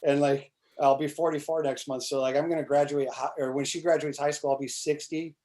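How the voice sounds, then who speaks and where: A man's voice: a little high, level tone, a little fast, mellow. A man in his forties chatting casually on a video call, rambling with frequent restarts and fillers and casual swearing. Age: 40s. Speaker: congressional candidate guest. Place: small indoor room, plain wall. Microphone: video call webcam.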